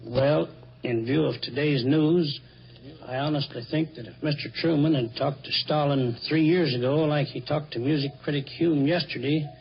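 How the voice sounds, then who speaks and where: A man's voice: on the high side, normal speed, vocal fry. A man with an American accent making a formal statement as a draft board member on old radio. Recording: dull, noisy old field recording.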